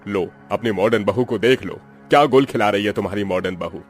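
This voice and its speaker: Man acting an older Indian father-in-law, low-pitched, traditional, emphatic, cartoon family melodrama. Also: brisk, faint music behind, natural voice. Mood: vindicated, reproving.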